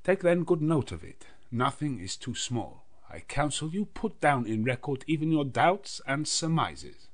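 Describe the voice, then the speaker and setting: A learned old Dutch professor in a gothic audiobook, acted by a man. He is grave and commanding yet kindly. His speech is emphatic and foreign in its phrasing, pitched up from the narration. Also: big pitch swings, measured pace, few pauses. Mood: grave, instructive.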